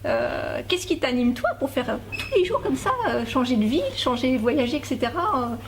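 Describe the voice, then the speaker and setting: A woman's voice: high-pitched, very animated, moderate pace, noisy background. A woman hosting a casual interview, often answering with short agreeing sounds. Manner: frequent short agreeing replies. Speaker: interviewer, channel host. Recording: busy crowd behind.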